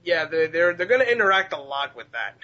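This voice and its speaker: A young man who voices fan dubs, chatting casually, giggly, sweary and excitable. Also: a little high, a little fast. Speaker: fan dub voice actor. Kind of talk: casual podcast chat. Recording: dull lo-fi recording.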